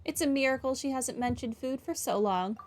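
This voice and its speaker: A woman's voice: sits high, a little fast, a little mellow. A blunt, sarcastic woman giving casual commentary on a game playthrough. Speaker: gaming streamer.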